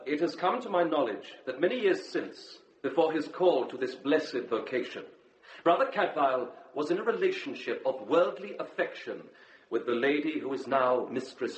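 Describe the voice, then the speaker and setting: An actor playing a pious, insinuating monk in a radio drama, with formal and sanctimonious delivery. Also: male voice, on the high side, roomy sound, natural voice, rough recording.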